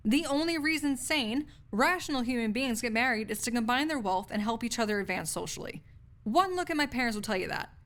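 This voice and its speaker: A woman's voice: a little high, lightly acted. A woman playing a snarky, cynical young research assistant in an audio roleplay, talking in a pedantic, lecturing way with scholarly wording. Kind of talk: intimate audio roleplay acting, conversational monologue to listener.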